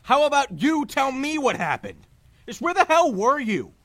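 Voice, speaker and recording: A man's voice: very high voice, very animated, normal speed, a bit bright, heavily acted. A man playing an angry, suspicious best friend in an audio drama, curt and confrontational. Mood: angry, accusing.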